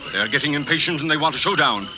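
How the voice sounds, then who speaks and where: A man's voice: on the high side, lively intonation, racing, lightly acted. A man playing a hostile, impatient village ringleader in an old radio mystery, blunt and commanding, on a very noisy recording. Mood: menacing. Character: hostile, impatient male leader of village crowd.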